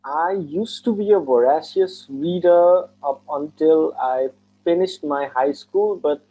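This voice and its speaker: A young man in his twenties from Nepal, a native Nepali speaker, answering mock speaking-test questions in earnest, formal phrasing with frequent restarts. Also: a little high. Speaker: test candidate. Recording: tinny phone-like call audio.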